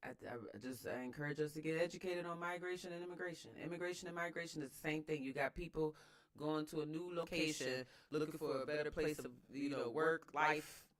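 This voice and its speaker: A man's voice: on the high side, steady tone, brisk, a bit bright. An American man giving casual, opinionated commentary as host of a read-aloud, emphatic and slangy, repeating phrases for emphasis.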